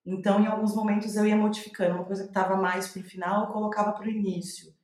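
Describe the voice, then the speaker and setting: A woman's voice: mid-range voice, little pitch movement, a little mellow. Brazilian woman in an echoey room, thinking aloud. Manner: thinking aloud, halting and searching for words. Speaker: playwright, interview guest. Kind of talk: reflective interview answers, spontaneous. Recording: roomy, echoing sound.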